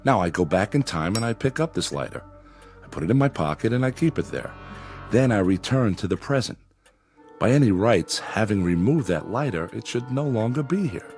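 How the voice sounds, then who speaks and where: A man playing a rational psychiatrist in a drama, speaking in a deliberate, explanatory and emphatic way. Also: on the low side, lively intonation, a little mellow, soft background music. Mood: reasoning, emphatic. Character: measured, rational male psychiatrist reasoning with patient. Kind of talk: dramatic dialogue and first-person narration.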